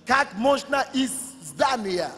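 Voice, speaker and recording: A man's voice: very high voice, expressive, slow, a bit bright. A male pastor preaching a sermon with impassioned, emphatic declarations. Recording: long church hall reverb with music.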